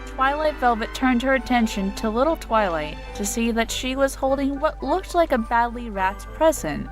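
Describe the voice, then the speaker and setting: A woman's voice: fairly high pitch, lively intonation, normal speed. A woman narrator reading a children's-style story as a scripted dramatic reading. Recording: music under the voice.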